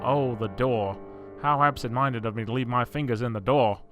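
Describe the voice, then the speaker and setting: A man's voice: mid-range voice, moderate pace, a bit bright, soft background music, close to an everyday voice. A man playing an older, absent-minded professor in a horror audio drama, mild and matter-of-fact in manner. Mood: apologetic.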